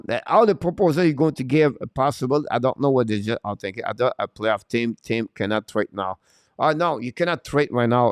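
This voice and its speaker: A man's voice: on the low side, clear, resonant tone, no echo, studio quality. A man hosting a live hockey stream, giving unscripted, emphatic opinions and answering chat. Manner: opinionated, emphatic, repeats points.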